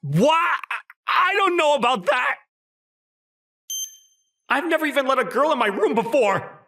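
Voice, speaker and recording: A man playing an exasperated, awkward teenage schoolboy, telling his story in an expressive, incredulous manga dub style. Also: very high voice, unhurried, creaky, heavily acted. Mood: flustered. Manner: expressive, incredulous, self-talking. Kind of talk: manga dub narration and dialogue. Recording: music behind the voice.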